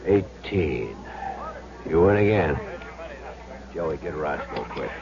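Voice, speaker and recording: A muffled old radio recording carries a businesslike casino dealer. He speaks in short, clipped phrases with a very low, mobile voice. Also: lively intonation, takes it slowly, darkish tone, heavily acted. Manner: terse, businesslike. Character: terse casino blackjack dealer. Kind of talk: 1970s radio drama acting.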